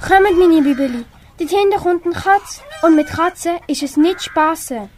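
A wary mother hen guarding her chicks , acted by a young girl. The voice is very high with a Swiss German accent, in an amateur radio play with slight noise. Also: animated, normal speed, a little mellow. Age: child or young teen. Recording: faint background noise.

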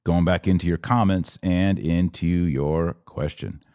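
A man from Texas with a very low voice, recorded close and dry. He talks like a podcast host on a live stream, casual and folksy, pressing his points with repetition as he responds to comments. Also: fairly even intonation, measured pace, warm tone, smooth, no echo.

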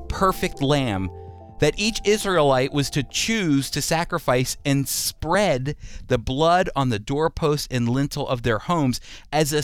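A man hosting a radio Bible program, teaching and reading scripture in an emphatic, deliberate way with rhetorical questions. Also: a little high, smooth, dry recording, studio quality.

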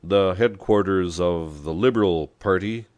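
Man acting a blustering, gruff village political boss. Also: sits low, normal speed, no room sound, close to an everyday voice. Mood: amazed. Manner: bellowing, emphatic outbursts. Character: big blustering communist village boss, hot-tempered, gruff, jeering.

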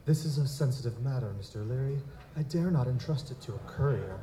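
An actor playing a courteous, grieving young nobleman in a live audio drama, speaking in formal, deliberate aristocratic phrases. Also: mid-range voice, animated, dark tone, lightly acted. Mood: courteous, smooth.

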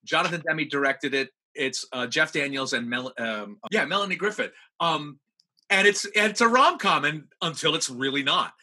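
A man hosting a casual interview, talking in an animated, rambling way. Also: a little high, normal speed. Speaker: podcast host, interviewer.

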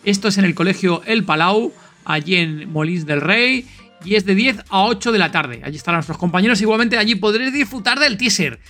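A man's voice: high voice, animated, fairly quick, sharp tone, soft background music. A man with an accent from Spain hosting a podcast in an informal, enthusiastic, chatty way. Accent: Spanish from Spain. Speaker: podcast host. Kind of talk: casual podcast announcements and chat.

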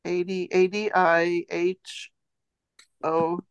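A woman's voice: on the low side, flat delivery, very slow, clean tone, no room sound. A woman co-hosting a podcast, casually running a word quiz and chatting with the others. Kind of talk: casual chat, quizzing co-hosts.

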